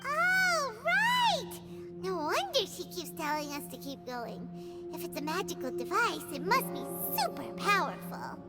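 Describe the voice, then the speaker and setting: A tiny, cheeky game sprite with an extremely high, squeaky voice. The delivery swoops wildly, blunt and exclamatory like a small child. Loud music sits behind it. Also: female voice, sing-song, measured pace, heavily acted. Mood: excited. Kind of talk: video game voice acting.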